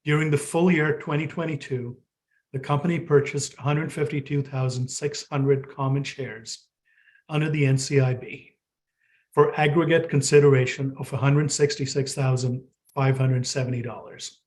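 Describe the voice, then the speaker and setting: A man with a mid-pitched voice delivers a scripted financial update as a company's chief financial officer. His delivery is formal and read from a prepared statement, as heard on an earnings video call. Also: steady tone, moderate pace, darkish tone.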